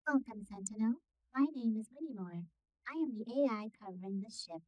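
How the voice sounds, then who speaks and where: A woman voicing a polite, composed starship AI with formal, measured announcements in a sci-fi audio roleplay. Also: on the high side, expressive, warm tone, no echo, voice pushed up, lightly acted, poor audio.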